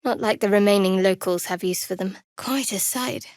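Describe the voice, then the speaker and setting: A woman playing a guarded, sardonic young cleric of a dark goddess in a fantasy video game, with a dry, measured, wry delivery. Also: medium pitch, no room sound, lightly acted. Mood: observant, matter-of-fact. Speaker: video game companion character. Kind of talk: scripted fantasy game voice acting.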